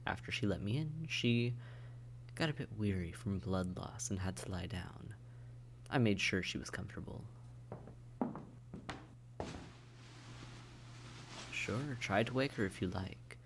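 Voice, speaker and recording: A man's voice: lowish voice, moderate pace, slight background noise, lightly acted. A man playing a suave, condescending vampire in an intimate audio roleplay, speaking to the listener in a polite, wry, teasing and articulate way. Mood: smooth, dismissive.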